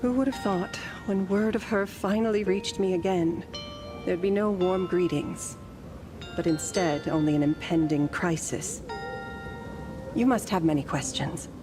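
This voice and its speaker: An ancient guardian played by a woman speaks in a fairly low female voice. She is serene and faintly teasing, with formal, old-fashioned phrasing suited to a fantasy game story. Music plays clearly behind her. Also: close to an everyday voice. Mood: solemn, wistful. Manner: formal, archaic, measured phrasing.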